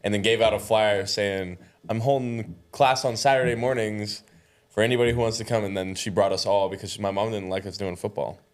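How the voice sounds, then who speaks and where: A man in his mid-twenties talks as a podcast guest, his voice sitting a little low. He tells stories in a relaxed, slangy way, easy and unhurried in his manner. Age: 20s. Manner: relaxed, casual storytelling with slang. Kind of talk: casual chat.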